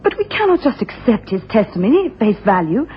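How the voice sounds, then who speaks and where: Anxious, high-voiced lady. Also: sing-song, fast. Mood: earnest, confiding. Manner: formal, hesitant, refined. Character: anxious, genteel upper-class wife seeking help. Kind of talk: 1980s radio drama acting. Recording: dull, noisy old radio broadcast.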